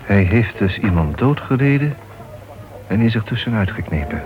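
A man's voice: lowish voice, expressive, normal speed, lightly acted. An actor playing a cautious club chairman in a Dutch radio play, deliberating and somewhat formal. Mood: pondering, concerned. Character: cautious, genial male club chairman, hesitant when pressed. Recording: dull old radio recording with music.

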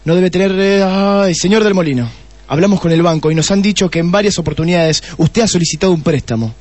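Un actor como detective argentino impaciente, voz aguda y rasposa. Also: male voice, sits high, fast, darkish tone, dry recording. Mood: questioning. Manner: exasperated, bossy. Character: impatient, brooding male police detective. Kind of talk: comic radio play acting.

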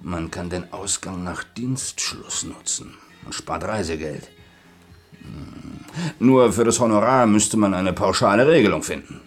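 An actor in a German radio play playing a shrewd, chatty restaurant manager who calculates aloud in colloquial short phrases. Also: male voice, low voice, measured pace, soft background music. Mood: calculating.

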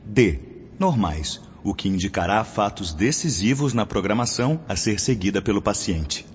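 An actor playing a calm, didactic male guide from the spirit world in a radio drama, speaking in a solemn, measured way. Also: lowish voice, natural voice. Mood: instructive, courteous. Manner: solemn, instructive, measured enunciation. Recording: muffled, reverberant hall, noisy radio-drama recording.

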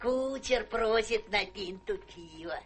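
An actress playing a sour old female servant in a radio play, muttering and grudging in a creaky voice. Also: medium pitch, lively intonation, takes it slowly, slight background noise. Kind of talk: theatrical radio play acting.

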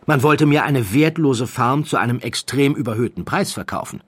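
Man playing a suave, brazen count, pitched up. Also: moderate pace, husky, no room sound, lightly acted. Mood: mildly indignant. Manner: nonchalant, polished, insolent. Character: suave, unflappable gentleman con man, a count, brazen and charming.

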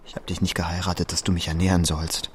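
A man's voice: deep voice, little pitch movement, fast, slight background noise, natural voice. An actor playing a humble, desperate young salesman and father in a radio play, sometimes stammering and pleading. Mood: downcast. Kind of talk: German radio play acting.

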